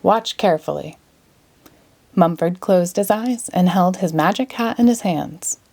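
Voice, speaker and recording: A woman's voice: on the low side, a little slow, darkish tone. A woman narrating a children's storybook as a read-aloud. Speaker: story reader.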